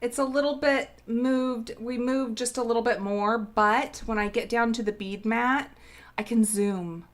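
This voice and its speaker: A woman's voice: a little high, a little slow. Bubbly woman hosting a craft stream. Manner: bubbly, greets viewers by name. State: sniffly from a cold. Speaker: craft live stream host. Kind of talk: casual live stream chat.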